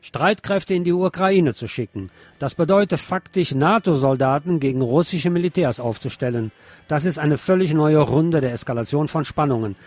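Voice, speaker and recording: Husky man reading radio news. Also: a little high, normal speed, a little mellow, no room sound. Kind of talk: reading news bulletins with wry commentary.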